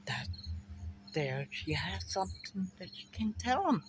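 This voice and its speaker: An older woman with a German accent, musing slowly to a phone camera in her backyard about memory and family. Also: mid-range voice, very animated, background noise. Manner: slow, musing, drifting between thoughts. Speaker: vlogger. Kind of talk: rambling personal monologue to camera. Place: backyard outdoors by a fence. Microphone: phone camera at arm's length. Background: crickets and insects.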